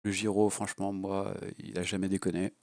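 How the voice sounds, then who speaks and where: Gravelly low-voiced man with a cold. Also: flat delivery, fairly quick, darkish tone, no room sound, clean studio sound. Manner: blunt and crude, rambling with many fillers. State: has a cold. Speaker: FPV drone hobbyist reviewer. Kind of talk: casual unscripted product review. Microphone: low-level home mic.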